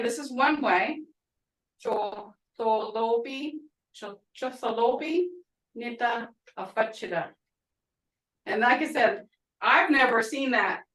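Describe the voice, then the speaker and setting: Instructor with an Oklahoma twang, talkative and laughing, somewhat high voice, echoey and far from the mic. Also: female voice, a bit bright. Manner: chatty, laughing often, storytelling asides. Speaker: language instructor. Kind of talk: casual online class teaching. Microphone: video call. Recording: roomy, distant video-call audio.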